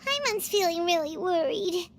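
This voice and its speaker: A woman voicing a small, excitable, anxious childlike companion in an anime video game, with a squeaky, shrill, fretful delivery. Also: moderate pace. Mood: worried. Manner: exclamatory, fretful. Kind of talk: English anime game voice acting. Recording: game music behind.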